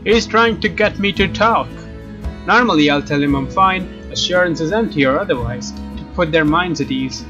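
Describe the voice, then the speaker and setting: A man with a fairly high voice reads a visual novel aloud as a let's player. The sound is slightly dull, and guitar game music plays clearly behind him. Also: normal speed. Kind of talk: reading visual novel narration aloud, expressive.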